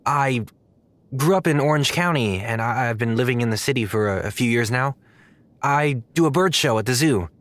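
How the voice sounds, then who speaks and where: A man's voice: mid-range voice, animated, moderate pace, no echo, close to an everyday voice. A man playing a naive young zookeeper in a comedic audio drama, nervous and hesitant, stammering awkwardly.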